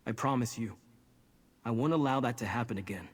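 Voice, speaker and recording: An actor playing a somber, protective young outcast in a fantasy video game, speaking earnestly and in a measured way. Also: male voice, mid-range voice, slightly rough, lightly acted. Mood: remorseful resolve.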